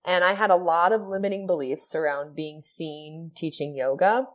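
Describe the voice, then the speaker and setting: A woman from Ohio, a yoga and sound healing teacher, telling her personal story as a podcast guest in an earnest, soft-spoken way, with many fillers. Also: sits low, normal speed.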